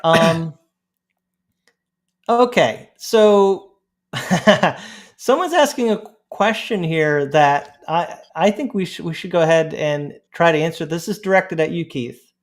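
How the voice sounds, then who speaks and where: A man's voice: on the high side, lively intonation, slow pace. A man co-hosting a casual chat, reading viewer questions aloud in an easygoing way. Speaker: co-host.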